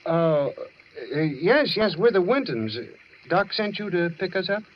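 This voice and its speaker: An actor playing an easygoing, reassuring husband in an old radio drama, with a breezy, bantering manner. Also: male voice, high voice, expressive, unhurried. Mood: wry unease. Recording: muffled, hissy old radio recording.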